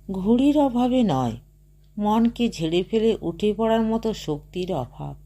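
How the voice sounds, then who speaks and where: A woman narrating a short story as an audiobook, reading in a measured way and softly voicing the dialogue. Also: medium pitch.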